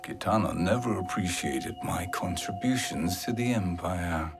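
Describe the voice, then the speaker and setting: Low-voiced, scheming old sorcerer. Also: male voice, vocal fry, over music. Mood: wry. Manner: measured, silky, insinuating. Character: sly, smooth-tongued older male sorcerer, scheming. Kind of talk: cinematic fantasy game acting. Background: cinematic score.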